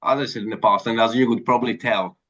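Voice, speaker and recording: A man's voice: medium pitch, steady tone, fast talker, a bit bright. A man from Greece with a Greek accent, speaking earnestly as an interview guest about his career. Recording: dull lo-fi sound.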